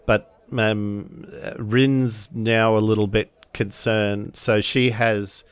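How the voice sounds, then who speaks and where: Male role-play gamer, clean resonant tone, unhurried and hesitant, describing his character's actions off the cuff. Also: lowish voice, little pitch movement, smooth, no room sound. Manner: halting, thinking aloud. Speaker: tabletop role-play player. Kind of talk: improvised third-person role-play narration.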